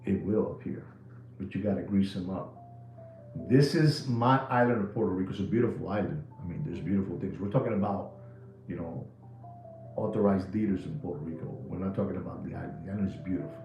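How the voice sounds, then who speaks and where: Gravelly, animated New man in his 60s. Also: sits low, brisk, reverberant, lo-fi sound, soft background music. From New York City. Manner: animated, jokey storytelling with slang. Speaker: live watch podcast host. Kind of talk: rambling live podcast chat.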